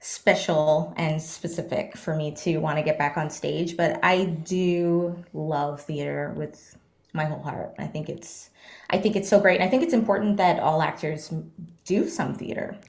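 A woman actress talking about her career as a guest in a casual interview, with lots of "um" fillers and animated laughs. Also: sits low, normal speed. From Bahamas, raised in Canada. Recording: roomy echo.

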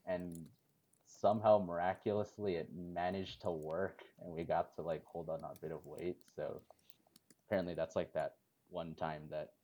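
A man of twenty in a casual group chat, telling a relaxed story and laughing often. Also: low voice, moderate pace.

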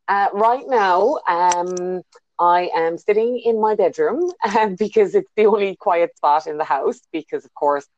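A woman with an Irish accent, speaking low and reflectively, pausing with ums and restarting her sentences as she thinks aloud. Her voice comes through a dry, close call line that sounds a little dull and muffled. She sounds like a guest on a video call from a quiet bedroom. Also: dry recording. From Ireland. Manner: thoughtful, many fillers and restarts. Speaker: guest, business consultant. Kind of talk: casual interview over a call. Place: bedroom at home.

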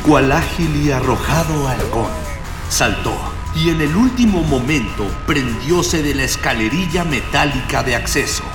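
An actor playing a grandiose, florid storyteller narrating a mock-epic radio adventure serial. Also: male voice, fairly high pitch, lively intonation, measured pace. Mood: grandiose, suspenseful. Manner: pompous, florid, dramatic. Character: grandiose storyteller, the male hero's loyal friend. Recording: echoey long reverb, loud music behind.

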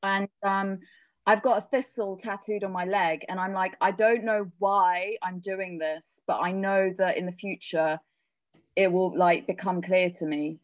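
A woman's voice: mid-range voice, steady tone, a little slow, dry recording. An English woman chatting casually, enthusiastic and full of fillers. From England. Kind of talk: casual chat.

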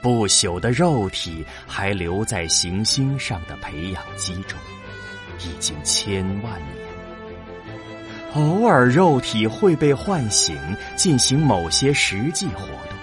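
A man's voice: on the low side, very animated, takes it slowly. A man reading scripted narration for a science fiction audio drama. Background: music under the voice.

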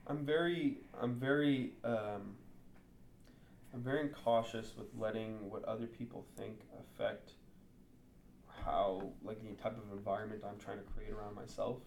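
A man's voice: lowish voice, fairly even intonation, a little slow, creaky, darkish tone, slight background noise. A native English-speaking man talking candidly and thinking aloud in a casual interview. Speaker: interview guest. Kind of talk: casual conversation.

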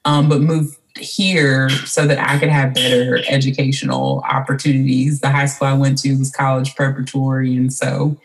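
A woman's voice: rumbling low, little pitch movement, normal speed, creaky, few pauses, soft background music, rough recording. Woman in casual interview. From Mississippi, United States. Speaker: podcast guest, writer.

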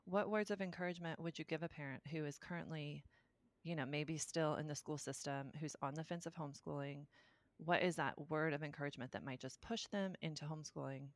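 A woman's voice: low-pitched, brisk, dry recording. A woman hosting a podcast, asking questions and thanking her guest in an affirming way. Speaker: podcast host. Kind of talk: podcast interview.

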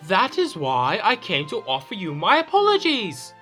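Guy acting a snooty petulant french king, theatrical, bright voice w music behind. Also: high-pitched, wildly swooping pitch, normal speed, full character voice. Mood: conciliatory. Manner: theatrical, haughty, formal. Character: proud, petulant French king, suspicious yet conciliatory husband. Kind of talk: period audio drama acting.

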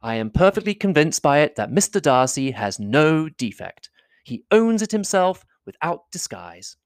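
A man's voice: on the high side, sing-song, normal speed, no room sound, pitched above the performer's own voice. Witty young woman, voiced by a male reader; playful, dry close recording. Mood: pointed.

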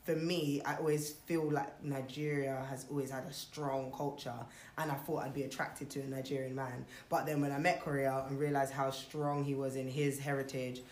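A woman's voice: rumbling low, level tone, normal speed, hardly any pauses, darkish tone, slight background noise. Reflective young woman in a roomy space. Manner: reflective, thinks aloud with many fillers. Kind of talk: casual group chat. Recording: roomy echo.

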